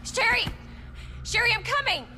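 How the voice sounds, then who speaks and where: A woman's voice: extremely high pitch, lively intonation, normal speed, background noise. An actress playing a determined, protective young woman in a video game, speaking with urgent, insistent emotion. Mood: alarmed, angry. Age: young adult. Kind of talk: video game cutscene acting. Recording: dramatic music behind the voice. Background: game soundtrack music.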